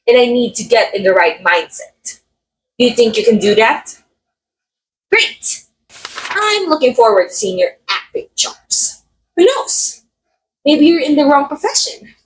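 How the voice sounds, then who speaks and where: A woman acts out a haughty, catty actress in an ASMR roleplay drama. Her voice sits fairly high and swings dramatically in pitch, drawling key words with sardonic condescension and a playful edge of menace. A faint noise sits behind the recording. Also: lively intonation, measured pace, low-quality recording. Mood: condescending, smug. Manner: theatrical, sardonic, drawling some words for emphasis. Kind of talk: ASMR roleplay monologue, voice acting.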